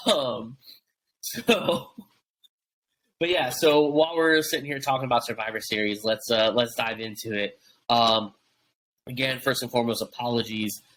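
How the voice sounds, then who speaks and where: Bright-voiced rambling young podcast host. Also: male voice, mid-range voice, unhurried, pauses often, rough recording. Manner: rambling, many fillers and restarts. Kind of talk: casual wrestling podcast chat.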